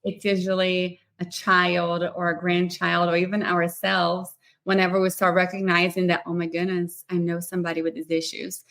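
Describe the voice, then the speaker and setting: A woman hosting a live podcast interview, upbeat and talking quickly in long runs. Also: fairly low pitch, completely flat delivery, fairly bright tone. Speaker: podcast host.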